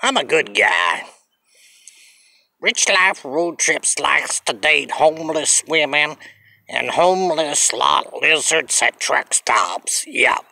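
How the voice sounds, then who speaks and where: A man's voice: on the high side, lively intonation, creaky, bright, forceful tone, no room sound. An older man from Canada rambling in a selfie vlog, filmed outdoors on a phone held at arm's length, drawling and repeating himself as he promotes his channel. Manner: slow, drawling, repetitive self-promotion. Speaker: vlogger. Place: outdoors on a sunny hillside street.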